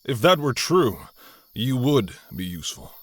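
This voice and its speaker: A man acting as a menacing middle-aged father and hunter, husky and very slow. His delivery swings widely in pitch, cold and contemptuous, as in a suspense audio drama. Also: very animated, fairly bright tone, no room sound, full character voice. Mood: stern, bitter. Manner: deliberate, scornful, dramatic pauses.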